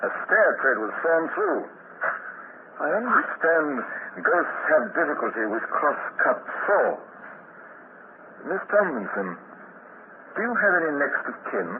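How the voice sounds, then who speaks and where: An actor playing a dry, wry, businesslike police inspector in a 1950s radio crime drama. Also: male voice, fairly high pitch, sing-song, slow pace, long pauses. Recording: scratchy old radio broadcast, tinny and hissy.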